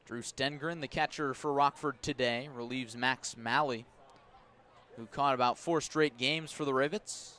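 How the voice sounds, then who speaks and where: A man doing live baseball play-by-play on the radio at an outdoor ballpark, measured between plays and rising with the action. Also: mid-range voice, steady tone, a bit bright. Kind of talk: live sports commentary.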